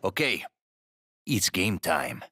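An actor playing a cocky, sardonic charmer in a video game, with a theatrical, teasing delivery. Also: male voice, low voice, very animated, takes it slowly, background noise, heavily acted. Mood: wry. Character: cocky, flirtatious roguish male ally, sardonic charmer. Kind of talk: video game cutscene acting.

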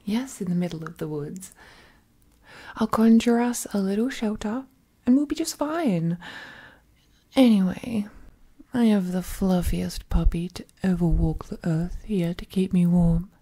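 An affectionate, playfully teasing witch girlfriend, acted by a woman for intimate ASMR. Her voice is very soft, dark and breathy, often dropping to a whisper. She coos tenderly and takes her time over each phrase. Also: medium pitch, measured pace. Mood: playful, doting. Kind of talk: ASMR roleplay, intimate close-up acting.

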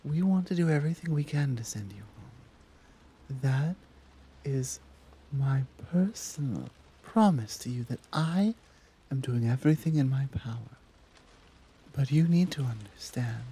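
A woman playing a caring, earnest tigress monk in a soft-spoken ASMR roleplay, with a breathy, measured, confiding delivery. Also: very deep voice, heavily acted.

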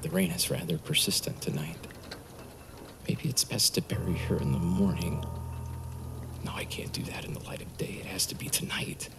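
An actor playing a nervous man who killed his wife, muttering to himself in hushed tones in an audio drama. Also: low-pitched, big pitch swings, normal speed, gravelly, in a whisper, exaggerated voice. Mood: tense. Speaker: murderer hiding his wife's body.

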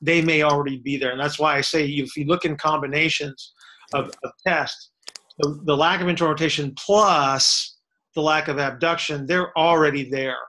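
Bright-voiced coach explaining step by step. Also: male voice, a little high, steady tone, moderate pace, low-quality recording. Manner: explains step by step, repeats words, checks for understanding. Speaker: strength and fitness coach answering questions. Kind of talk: casual expert Q&A explanation. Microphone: webcam on a video call.

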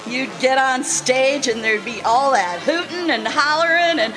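A woman acting in a radio drama as a kindly, excitable former gold-rush saloon girl, with a folksy, gushing manner. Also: sits high, a little slow, over music, low-quality recording. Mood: nostalgic.

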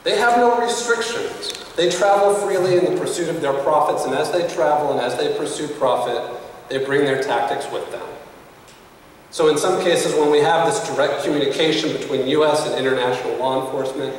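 A man in his thirties giving a prepared conference speech at a podium in a hotel conference room, in an earnest, measured way and pushing his pitch up to stress points. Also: a little hiss or hum. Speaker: conference speaker. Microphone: podium mic, recorded by a camera across the room. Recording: echoey hall picked up from far off.

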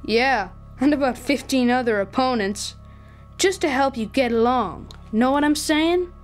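A woman's voice: sits high, measured pace, faint music behind. A woman playing a smug young boy gamer in an audio drama, needling and mock-concerned. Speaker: actor.

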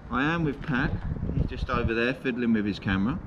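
A man's voice: medium pitch, brisk, lo-fi sound. A man in his thirties vlogging to camera outdoors by an old barn, chatty and addressing viewers as guys. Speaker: urban explorer vlogger. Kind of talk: casual vlog to camera. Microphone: camera mic at a distance. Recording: loud outdoor noise.

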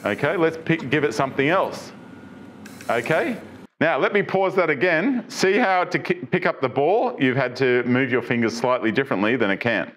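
A man's voice: mid-range voice, lively intonation, moderate pace, a bit bright. A middle-aged man presenting tech news in a studio, chatty and casual, with many fillers and restarts, asking the viewer questions. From Australia. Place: studio with branded backdrop.

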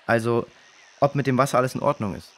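A man's voice: mid-range voice, fast, natural voice. A man playing a clever, precise boy who explains and corrects, in a children's audio drama. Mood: explaining.

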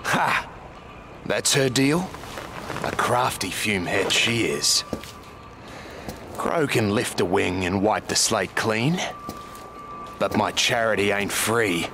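An actor playing a sly wasteland race master in a video game, with florid, preacher-like theatrical oratory. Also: male voice, on the low side, expressive, takes it slowly, long pauses, noisy background. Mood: sly, commanding. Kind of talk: theatrical game character acting.

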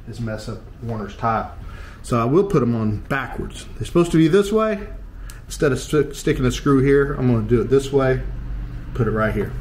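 Casual DIY vlog host: American male, fifties, folksy and jokey, mid-pitched, recorded in a reverberant room with background noise. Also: darkish tone. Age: 50s. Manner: folksy, joking, thinking aloud. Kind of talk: casual chat while demonstrating DIY. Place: small tiled living room indoors. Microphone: handheld action camera, varying distance.

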